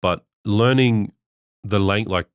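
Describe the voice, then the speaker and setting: A man who grew up speaking Levantine Arabic first, hosting a relaxed, reflective podcast chat. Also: fairly low pitch, slow, clear, resonant tone, no echo, studio quality. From Australia. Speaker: podcast host. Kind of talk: casual chat.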